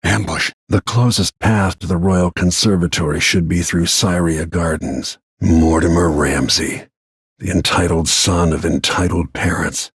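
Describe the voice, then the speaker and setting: Brooding middle-aged game assassin, really raspy deep male voice, grim quiet menace, close dry sound. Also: fairly even intonation, unhurried, dry recording, full character voice. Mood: grim, determined. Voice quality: gravelly, husky. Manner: grim, low-key, menacing. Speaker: video game voice actor. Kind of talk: dramatic game voice lines, brooding inner monologue.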